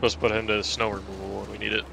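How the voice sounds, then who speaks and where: A man's voice: fairly low pitch, flat delivery, quick pace, gravelly, background noise. A man playing along on a gaming stream, easygoing and matter-of-fact in casual chat. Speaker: co-player on stream.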